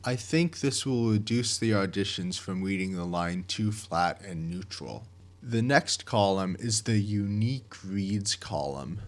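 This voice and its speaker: A man reading scripted instructions in a methodical, measured and plainly worded way, like a project director explaining a process. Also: on the low side.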